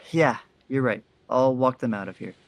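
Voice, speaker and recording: A man's voice: mid-range voice, a little slow, dry recording, natural voice. A man playing an awkward, friendly ghost in an audio drama, speaking in a hesitant, casual way. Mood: gentle, awkward. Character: awkward, gentle, friendly male faceless ghost.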